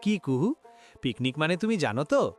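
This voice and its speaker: A man's voice: on the high side, very animated, normal speed, faint music behind. A man voicing a kindly, reassuring village uncle in a cartoon.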